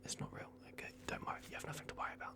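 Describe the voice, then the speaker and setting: A man voicing a playful, fussy vampire doctor in an ASMR roleplay, murmuring halting reassurances in a breathy whisper. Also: natural voice. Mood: nervously reassuring. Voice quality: breathy whisper with gravelly creak.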